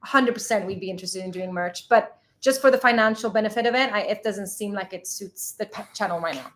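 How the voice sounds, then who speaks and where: A woman's voice: mid-range voice, quick pace, fairly bright tone, slight background noise. A woman from Sweden, a native Swedish speaker, co-hosting a travel channel's live Q&A and chatting casually while reading out viewer questions. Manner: chatty, laughs often, reads out viewer questions. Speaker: travel vlogger co-host.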